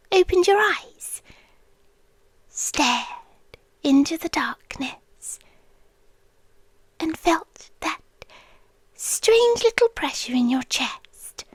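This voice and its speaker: A British woman playing an obsessive, creepily devoted yandere girlfriend in an intimate ASMR roleplay, breathy and often whispering, lingering on short phrases. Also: high-pitched, dry recording, full character voice. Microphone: close microphone, dry intimate recording.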